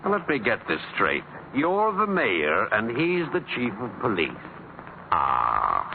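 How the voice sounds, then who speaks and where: A man's voice: lowish voice, huge pitch swings, slow pace, vocal fry, exaggerated voice. An actor playing a sarcastic middle-aged beat cop in a radio sitcom, mockingly repeating what he is told. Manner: mocking repetition, drawling sarcasm. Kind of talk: 1950s radio sitcom acting. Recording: tinny, hissy old radio broadcast.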